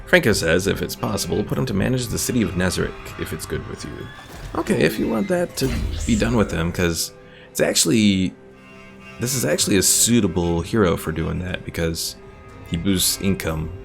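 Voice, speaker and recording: A man gives casual, laid-back gameplay commentary, thinking aloud as he goes. His voice is low with plenty of fry, over faint game music. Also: expressive, moderate pace. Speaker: game streamer.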